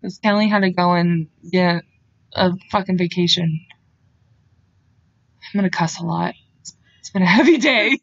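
A woman in her twenties co-hosting a podcast, chatting casually, bubbly and quick to laugh or swear. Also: lowish voice, creaky, no room sound, lo-fi sound. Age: 20s. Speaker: podcast co-host. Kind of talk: casual chat.

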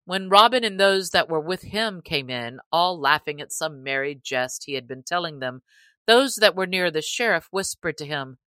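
A woman's voice: low voice, expressive, normal speed, a bit bright, clear, resonant tone, no room sound. A woman reading scripted storybook narration expressively, in old-fashioned literary phrasing. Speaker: audiobook narrator.

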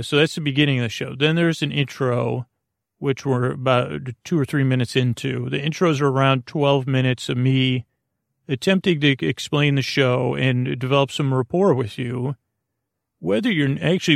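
Creaky-voiced man rambling a bedtime monologue. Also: mid-range voice, no echo, studio quality. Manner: meandering, halting, loses his thread and circles back. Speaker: podcast host.

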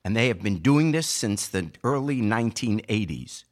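A man giving political commentary as a podcast co-host, explaining his points in an emphatic, argumentative way with deliberate phrasing. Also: lowish voice, animated.

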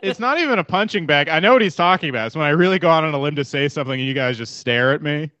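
Brief: young man cracking jokes on a video call, fairly high voice, dry and dull sound. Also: sharp tone, dry recording. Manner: joking, rambling, quick asides. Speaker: podcast co-host. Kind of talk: casual comedic chat. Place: grandmother's home kitchen. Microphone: video call mic.

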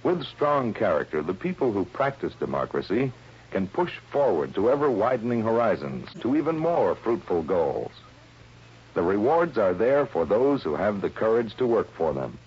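A man's voice: lowish voice, big pitch swings, moderate pace, breathy edge. A man giving an earnest, declamatory scripted narration on an old radio broadcast. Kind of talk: solemn scripted public-service narration. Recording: dull vintage radio broadcast recording.

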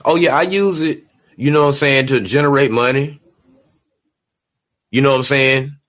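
This is a man hosting a solo podcast, with a voice set in a middle range of pitch. He talks in an emphatic, streetwise way that leans toward preaching. He keeps coming back to "you know what I'm saying". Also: normal speed, fairly bright tone. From Georgia, USA. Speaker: podcast host.

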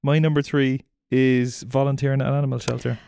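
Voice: mid-range voice, little pitch movement, brisk, creaky, mellow, clear, resonant tone, no echo, clean studio sound. An Irish podcast co-host in casual banter, teasing and swearing freely.

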